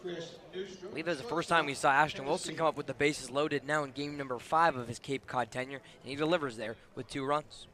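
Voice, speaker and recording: A man provides analysis as the color commentator on a live baseball radio broadcast. His voice is slightly high and bright with a crisp edge, and he talks through the game conversationally. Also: fairly even intonation, fairly quick.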